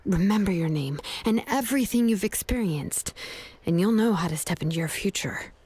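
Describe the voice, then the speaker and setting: A laid-back adult woman smith in a video game. She talks in a relaxed, gentle and reassuring way, with a voice in the middle range. She sounds caring and unhurried. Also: mid-range voice, husky. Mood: comforting.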